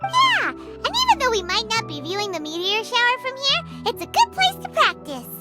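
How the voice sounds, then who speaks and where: A woman's voice: very high voice, wildly swooping pitch, normal speed, exaggerated voice. A woman voicing a tiny, cheerful, childlike fairy companion with a chirpy manner in an anime video game. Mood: bright, impressed. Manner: refers to self in third person, chirpy. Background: music.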